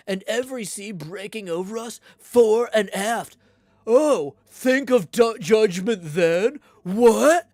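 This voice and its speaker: A streamer voicing a stern, fiery old sea captain who preaches and scolds loudly. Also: no echo, studio quality, lightly acted. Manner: booming, preachy, indignant.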